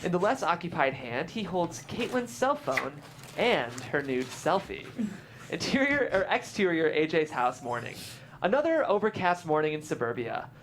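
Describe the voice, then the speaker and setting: A narrator reading stage directions aloud at a script table read, amused and lively. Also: mid-range voice, moderate pace, slight background noise.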